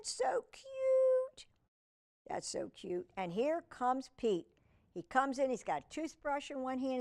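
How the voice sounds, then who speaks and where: A woman with a fairly high voice reads a picture book to young children. She takes her time, with a very slow pace and lively swings in pitch. Her wording is simple, and she often turns to the listener with questions. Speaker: children's storyteller and humane educator.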